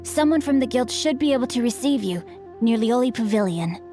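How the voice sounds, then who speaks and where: A woman's voice: on the high side, expressive, brisk, over music, close to an everyday voice. A woman voicing a polite, studious young nobleman in a video game, speaking formally and earnestly with chivalrous eloquence. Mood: earnest, high-minded. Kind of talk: animated game voice acting.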